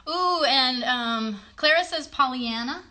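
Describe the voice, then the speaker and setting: A woman in her thirties hosts a children's livestream in a bright, fairly high voice. She talks in simple, encouraging phrases and asks plenty of questions, recorded on a phone in a small room. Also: slow. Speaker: host and puppeteer of a children's show. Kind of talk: child-directed chat on a livestream. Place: small bedroom-like room indoors. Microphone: phone a short distance away.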